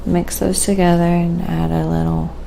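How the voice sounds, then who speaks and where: A soft-spoken, sometimes whispery woman chatting casually on an ASMR painting livestream, musing aloud in an unhurried way. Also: fairly low pitch, little pitch movement, vocal fry, a little hiss or hum.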